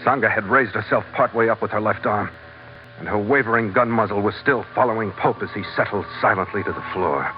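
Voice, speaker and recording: An actor playing a tough, world-weary American adventurer captain, narrating tersely in vintage radio drama style. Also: male voice, fairly low pitch, brisk, close to an everyday voice. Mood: hardboiled, tense. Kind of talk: 1940s-50s radio adventure acting, hardboiled first-person narration. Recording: dull vintage radio recording with music.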